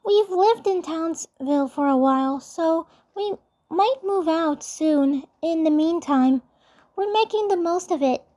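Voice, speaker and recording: A woman's voice: very high-pitched, expressive, a little slow, mellow, pitched above the performer's own voice. A woman voicing a sweet, innocent, affectionate young cartoon superheroine with a tender, cooing delivery. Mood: soft, caring.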